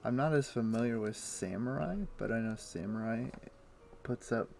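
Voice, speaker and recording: A man's voice: on the low side, normal speed, gravelly, a little hiss or hum. A man streaming a video game, chatting casually and thinking aloud with lots of fillers. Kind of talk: casual gameplay chat. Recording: faint music in the background. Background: soft game music.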